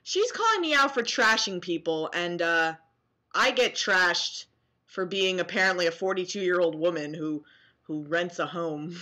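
A young American woman reacting casually to comments she reads aloud, sarcastic and animated, at home on a webcam mic. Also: on the low side, unhurried. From New Jersey, US. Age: young adult. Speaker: vlogger. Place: small room at home, indoors.

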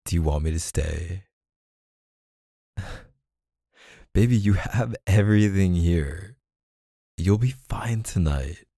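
Guy acting as chill apologetic boyfriend, roleplay audio, slow n gravelly, close dry sound, coaxing and kinda hesitant. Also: low voice, mellow, no room sound, full character voice. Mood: casual, coaxing. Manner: casual, hesitant, sighing, coaxing with pet names. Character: laid-back, apologetic young boyfriend soothing his neglected partner. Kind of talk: boyfriend ASMR roleplay, casual intimate one-sided dialogue.

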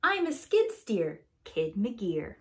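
Woman playing an eager, lively little truck girl. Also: medium pitch, takes it slowly. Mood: eager, hopeful. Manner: earnest, helpful, exclamatory. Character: eager, plucky young female skid steer truck.

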